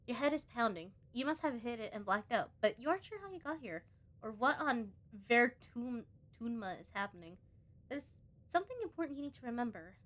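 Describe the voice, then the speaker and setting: A woman's voice: fairly high pitch, big pitch swings, a little fast, a bit bright. A woman game streamer chatting casually and reactively, reading on-screen text aloud and muttering asides to herself.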